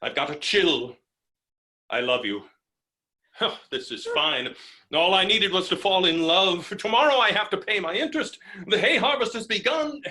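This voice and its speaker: An actor playing a hot-headed, stammering landowner who is helplessly falling in love, in a theatrical staged reading of a farce. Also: male voice, sits high, lively intonation, pushed and bright. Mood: self-reproachful. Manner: theatrical, stammering, swings from halting to bellowing.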